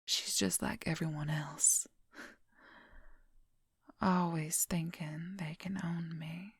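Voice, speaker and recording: A woman acts out a possessive young werewolf woman in an ASMR role-play. She whispers very slowly and intimately, with a husky, breathy voice that crackles with heavy fry. The sound is close and dry. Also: on the low side, steady tone, dry recording, heavily acted. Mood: quiet, resentful.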